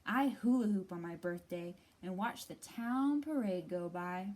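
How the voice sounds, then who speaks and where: A woman reading as a cheerful, sociable little traveling fish in a picture book, in a friendly, expressive way. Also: fairly low pitch, measured pace, pitched below the performer's own voice. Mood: cheerful, easygoing.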